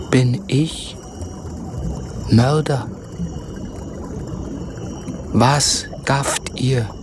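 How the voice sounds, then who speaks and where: An actor playing a tormented, brooding murderer in a radio drama, speaking haltingly and often dropping into muttered whispers. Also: male voice, medium pitch. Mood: frantic, paranoid. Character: tormented, brooding man turned murderer of his lover. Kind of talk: literary radio drama acting.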